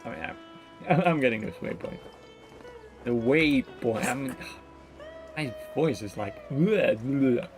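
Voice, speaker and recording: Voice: medium pitch, lively intonation, takes it slowly, long pauses, faint music behind. A game streamer giving casual live commentary, mumbling in a playful, slangy way.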